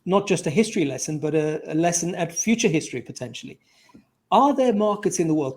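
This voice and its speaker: Male interview host, slightly high-pitched. Conversational, reflective, long-winded in framing questions. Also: darkish tone.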